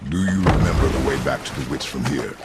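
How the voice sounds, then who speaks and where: An actor playing a stern, gruff warrior father in a video game, terse, grave and commanding. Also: extremely low pitch, fast talker, very noisy. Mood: stern, measured. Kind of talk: video game cutscene acting.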